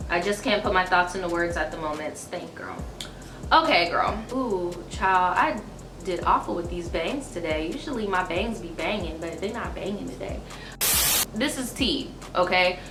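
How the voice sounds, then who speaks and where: A woman's voice: fairly low pitch, lively intonation, normal speed, roomy sound, over music. A woman in her twenties with allergy-stuffy sinuses, chatting casually to camera and reading a viewer's letter, full of fillers and slang. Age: 20s. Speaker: YouTuber reading viewer stories. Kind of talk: casual advice chat and reading letters aloud. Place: small indoor room, seated before a dark backdrop.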